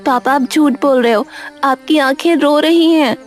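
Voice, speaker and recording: Woman as a high-voiced, worried young girl. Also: a little slow. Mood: concerned, sad. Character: sad, perceptive fourteen-year-old daughter. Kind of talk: animated story character dialogue. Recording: music behind the voice.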